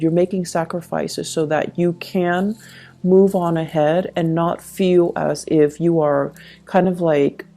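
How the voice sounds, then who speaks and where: A woman's voice: low voice, mellow. A woman giving a tarot reading to her viewers, speaking in a reassuring way and often checking in with the listener. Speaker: tarot reader.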